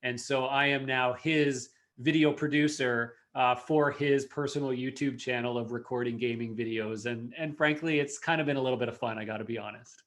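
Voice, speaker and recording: A Canadian man in his forties chats easily as a podcast guest. He rambles a little, with frequent fillers and small restarts. His voice sits at an ordinary middle pitch. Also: fairly even intonation, moderate pace, few pauses, fairly bright tone. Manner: friendly, talkative, frequent fillers. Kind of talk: casual interview chat.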